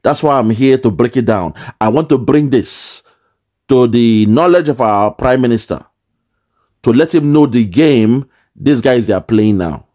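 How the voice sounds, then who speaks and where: A man's voice: mid-range voice, expressive, measured pace, mellow. A man commenting on a video clip, indignantly explaining and repeating his points with rhetorical questions.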